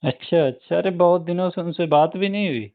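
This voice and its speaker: A man's voice: high voice, a little fast, dry recording. A young man, chatting casually as a private tutor over the phone. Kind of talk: casual chat. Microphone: phone call. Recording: dry, slightly tinny phone call recording.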